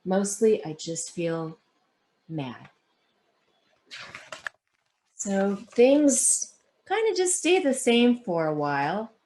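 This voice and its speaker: A woman author who reads aloud and talks in an expressive, deliberate storytelling manner. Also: medium pitch, slight background noise. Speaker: children's book author. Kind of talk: picture-book read-aloud and conversation.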